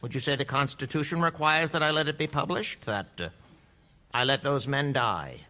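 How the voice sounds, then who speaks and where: A man's voice: mid-range voice, normal speed, pushed and bright, natural voice. A man playing a stern, skeptical judge in a courtroom radio drama, formal and probing. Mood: challenging, troubled. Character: stern, skeptical male judge presiding over a hearing.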